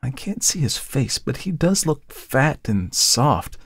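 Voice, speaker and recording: Guy doing a chatty young model girl, way higher than his own voice, playful and scolding, bit of gravel, dead dry sound. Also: very animated, slow, no room sound, exaggerated voice. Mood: musing teasing. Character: lively teasing young woman artist's model. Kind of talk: audiobook character voice.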